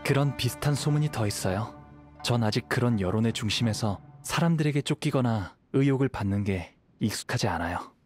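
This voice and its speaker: An actor playing a modest young wandering samurai in a game, calm, polite and reflective. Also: male voice, medium pitch, fairly quick, breathy edge. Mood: humble, reserved. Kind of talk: game voice acting. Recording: music behind the voice.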